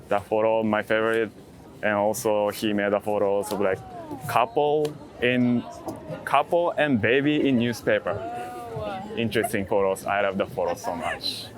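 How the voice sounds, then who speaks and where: A man chatting casually as an interviewed street photographer, in halting non-native English with dropped articles and plurals. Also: on the low side. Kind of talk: casual chat. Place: outdoors in a busy city. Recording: busy city crowd noise behind.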